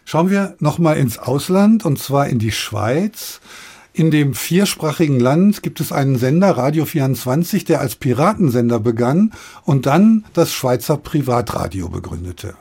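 A man's voice: mid-range voice, very animated, normal speed, darkish tone, husky. A man hosting a radio discussion, asking questions and introducing clips. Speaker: interview host.

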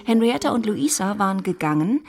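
A woman narrating a German literary radio play in a composed, measured storytelling manner. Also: medium pitch, animated, darkish tone.

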